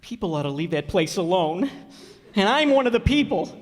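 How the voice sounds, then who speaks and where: A jittery, blustering middle-aged father, played by a man in a radio comedy. He stammers and hedges, his pitch swinging widely, in a big echoing hall. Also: sing-song, normal speed. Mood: uneasy. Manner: stammering, flustered, hedging. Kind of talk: old-time radio comedy acting.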